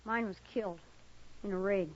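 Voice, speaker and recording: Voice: medium pitch, fairly even intonation, normal speed, natural voice. A performer playing a wary, guarded young boy in a radio western, speaking in short, blunt phrases. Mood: wistful. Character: wary, guarded young boy raised among Plains tribe. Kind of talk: 1950s radio western acting. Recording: dull old radio recording.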